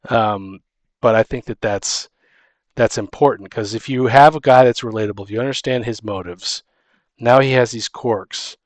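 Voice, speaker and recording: A man's voice: medium pitch, no room sound. A man co-hosting a casual discussion, talking in a rambling way and often restarting his sentences halfway through. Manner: rambling, halting, restarts sentences mid-thought. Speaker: podcast co-host.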